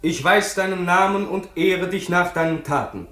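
A man's voice: high-pitched, normal speed, pushed and bright. An actor in a German radio play, playing a proud, idealistic young king who proclaims solemnly. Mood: proud, proclaiming. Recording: echoey room, faint music behind.

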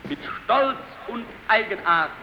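An actor playing a fanatical propaganda minister giving a pompous, declamatory speech in a radio drama. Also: male voice, sits high, animated, slow, muffled recording. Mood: fervent. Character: fanatical male Nazi propaganda minister orating. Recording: echoing speech under loud music.

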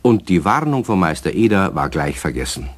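A man's voice: low voice, very animated, normal speed. A man playing the narrator of a children's radio play, telling the story expressively to young listeners. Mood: foreboding.

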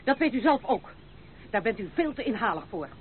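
A woman's voice: fairly high pitch, big pitch swings, brisk. An actress playing a proud, independent young noblewoman in a radio play, speaking formally, firmly and with dignity. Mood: angry, commanding. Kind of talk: old radio play acting. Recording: tinny, band-limited, hissy old radio recording.

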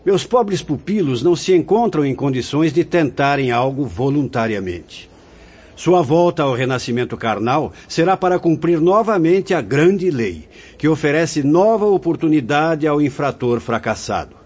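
A wise fatherly spirit director, husky, preachy and solemn, radio drama, dull lo-fi sound. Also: on the high side, expressive, moderate pace, a little mellow. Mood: solemn, instructive. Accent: Brazilian. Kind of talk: Portuguese-language radio drama acting.

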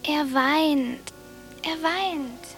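Weary little girl, high-voiced. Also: drawn-out words. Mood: exhausted, dreamy. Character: weary, stubborn little village girl, dreamy and earnest. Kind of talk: German radio drama acting. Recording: studio radio drama with music.

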